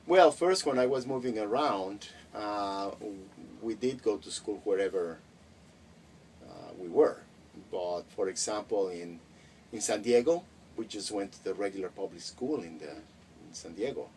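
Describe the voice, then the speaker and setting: A middle-aged Mexican-born man whose first language is Spanish, recalling his schooling in a relaxed interview with frequent hesitations and restarts. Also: on the low side, measured pace. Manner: reflective, many hesitations and restarts. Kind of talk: casual interview. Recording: distant mic with faint noise.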